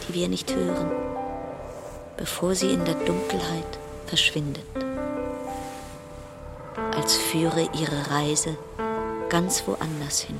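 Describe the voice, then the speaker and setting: An actress playing a haunted woman ship passenger in a literary radio drama monologue, lyrical and measured, repeating her phrases. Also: on the low side. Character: haunted woman passenger recalling a deadly cruise ship voyage.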